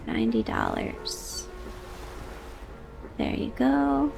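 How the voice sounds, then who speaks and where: A woman with a soft, high voice talking quietly through a gameplay video for sleep, slipping between a hush and a whisper. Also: wildly swooping pitch, extremely slow, gravelly, music in the background. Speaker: gamer. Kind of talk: soft-spoken ASMR gameplay.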